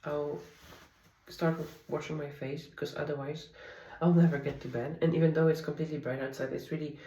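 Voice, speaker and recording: A woman's voice: sits low, fairly even intonation, a little fast, echoey room, recorded from a distance, poor audio. Polish-native woman vlogging at home at night, candid, talking a bit away from the mic. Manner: soft-spoken, candid, confiding. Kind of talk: personal diary vlog.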